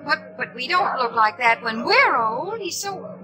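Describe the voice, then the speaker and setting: A woman playing an excitable, squeamish young lady in a 1950s radio drama, breathless and gushing. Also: high-pitched, measured pace, music in the background. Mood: shocked fascination. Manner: breathless, gushing, easily horrified.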